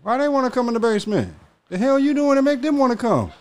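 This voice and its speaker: A man's voice: very high voice, very animated, quick pace. A man co-hosting a podcast, reacting with incredulous exclamations in loose, profane banter. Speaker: podcast co-host.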